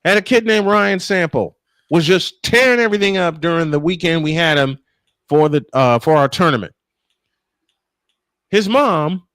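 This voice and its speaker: A man calling live baseball play-by-play and telling stories in an animated, emphatic way, stretching phrases for drama. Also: on the high side, dry recording, studio quality. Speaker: play-by-play sportscaster. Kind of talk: live baseball commentary mixed with animated storytelling.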